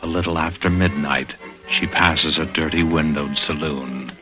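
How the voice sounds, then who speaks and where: An ominous male narrator with a very deep voice and deliberate, dramatic delivery. It sounds like a 1940s radio thriller, muffled, with music behind him. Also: fairly even intonation. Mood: ominous, suspenseful. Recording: dull vintage radio broadcast recording.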